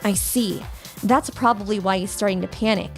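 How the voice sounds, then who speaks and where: A woman's voice: medium pitch, moderate pace, over music, lightly acted. A woman playing a confident, teasing young ex-delinquent who runs a company, self-assured and playful in a comic manga dub. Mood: businesslike.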